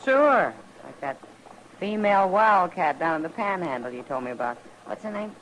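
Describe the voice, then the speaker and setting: A woman's voice: lowish voice, big pitch swings, moderate pace. An actress playing a self-assured, playful frontier woman in an old radio western, teasing and dry-witted. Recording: noisy vintage radio recording.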